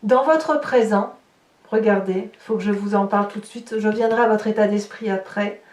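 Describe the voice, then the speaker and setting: A woman's voice: mid-range voice, moderate pace, a little mellow. A woman giving an improvised tarot reading straight to her listeners, explaining as she goes and searching for words aloud. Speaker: tarot reader, horoscope host. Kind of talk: improvised spoken tarot reading addressed to viewers. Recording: roomy echo, distant microphone.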